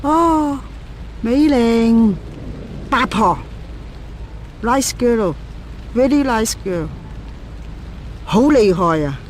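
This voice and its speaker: A woman's voice: on the high side, animated, very slow, long pauses, a little mellow, slight background noise. A woman playing a pushy, cheerful brothel madam in a video game, with a Chinese accent and clipped, exclaiming broken English. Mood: eager, salesy.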